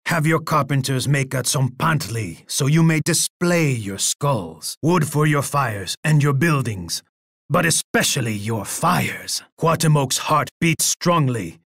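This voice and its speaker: A proud Aztec warlord for a strategy video game. His voice is husky and dry, and he delivers his lines slowly. He is imperious and boastful, with a bloodthirsty edge. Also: medium pitch, no room sound, full character voice. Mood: haughty generosity. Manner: imperious, boastful, speaking of himself in third person.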